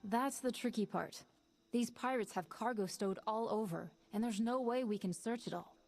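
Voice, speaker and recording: An actress voicing a tired female ex-smuggler pilot in a video game, pitching a heist in a brisk, businesslike way. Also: a little mellow, soft background music. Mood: scheming.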